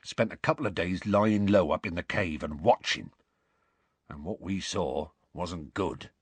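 Gruff, rustic big fellow. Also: male voice, low voice, a little slow, no echo. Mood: grim storytelling. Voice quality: gruff, growly. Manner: colloquial, rambling, dropped consonants. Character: big gruff rustic man, warm-hearted, indignant storyteller.

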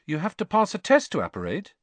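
A male reader acts a young boy wizard in a storybook. The voice is high and lively, full of puzzled questions and surprise. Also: fast, no room sound, heavily acted. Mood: curious, startled.

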